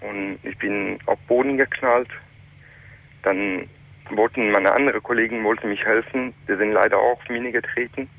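Iranian guy late 30s on a call-in show, halting german, low voice, muffled line. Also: steady tone, vocal fry. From Iran. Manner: halting, plain non-native German. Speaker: caller. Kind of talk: phone call to a radio talk show.

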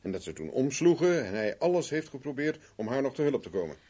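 Husky, self-assured male investigator. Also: medium pitch, sing-song, fast, warm tone. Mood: probing. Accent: Netherlands Dutch. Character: self-assured male private investigator, shrewd, worldly, coolly protective. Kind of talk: 1970s Dutch radio play acting.

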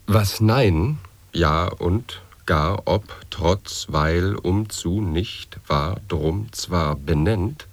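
A man's voice: sits low, no echo, heavily acted. A man playing a grave figure who intones nonsense pronouncements word by word in an avant-garde radio play. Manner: deliberate, clipped word-by-word declamation. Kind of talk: avant-garde radio drama, sound poetry.

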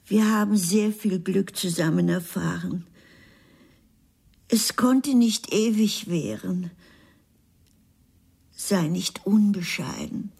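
Radio drama role: resolute wife, breathy and creaky, measured delivery, tender yet firm. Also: female voice, medium pitch, animated, darkish tone, heavily acted. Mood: grave, concerned. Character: resolute, tender wife who fears poverty.

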